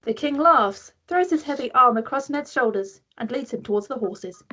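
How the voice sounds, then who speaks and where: A woman's voice: high-pitched, lively intonation, normal speed, fairly bright tone, breathy edge. A woman reading stage directions as the narrator of a script table read.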